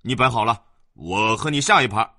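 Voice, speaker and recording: A male storyteller voicing a rough, hot-tempered young boy who is brash and yells. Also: mid-range voice, animated, a little fast, dry recording, studio quality. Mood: curious. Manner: brash, yelling.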